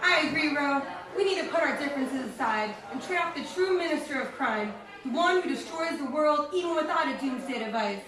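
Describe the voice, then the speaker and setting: A woman's voice: sits high, expressive, brisk, few pauses. A woman playing a brassy, cheeky female detective in an old-time radio spoof. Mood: brassy, bold. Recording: echoey, distant, with crowd noise.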